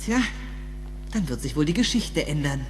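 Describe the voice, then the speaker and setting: A man's voice: on the high side, big pitch swings, normal speed, over music. A man playing an impulsive, insecure teenage schoolboy in a German radio play, with a youthful, exclaiming delivery. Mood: defensive.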